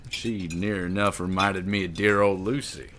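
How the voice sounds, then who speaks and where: An actor playing a folksy, good-humored male frontiersman with a slow drawl in an audio drama. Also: deep voice, steady tone, fairly bright tone, slight background noise. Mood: jovial.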